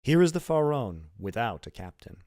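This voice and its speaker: Hearty gentleman shipowner for a storybook, acted by a male reader: earnest, courteous, emphatic, lively pitch, very dry sound. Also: moderate pace, no room sound, close to an everyday voice. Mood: warm, businesslike. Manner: emphatic, courteous, formally worded.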